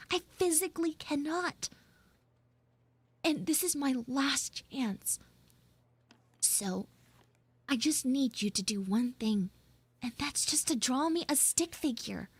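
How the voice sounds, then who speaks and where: A woman's voice: high-pitched, animated, takes it slowly, pauses often, dry recording. A young woman playing a flustered, pleading tsundere schoolgirl in a live roleplay, rambling and stammering dramatically. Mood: desperate. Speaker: live audio roleplay streamer. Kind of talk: improvised live character roleplay.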